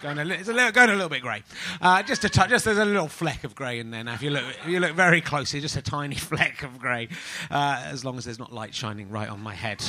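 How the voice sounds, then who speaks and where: A man's voice: on the high side, quick pace, few pauses, bright, forceful tone. A man, hosting a live comedy podcast in front of an audience, teasing and laughing often. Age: 40s. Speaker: podcast host.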